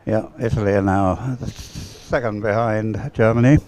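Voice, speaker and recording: Male live race commentator, lowish and very relaxed in tone, observational, unhurried delivery. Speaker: sports commentator.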